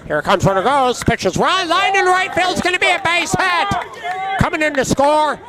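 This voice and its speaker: A man calling a baseball game play-by-play, his voice raspy and hoarse from allergies, chatting between pitches. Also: expressive, normal speed, over a noisy crowd, very noisy. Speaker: play-by-play announcer.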